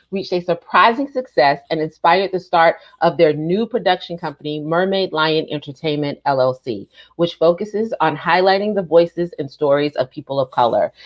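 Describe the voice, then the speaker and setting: A woman hosting a casual live podcast, chatting and reading aloud in an expressive, emphatic way. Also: deep voice. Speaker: podcast host.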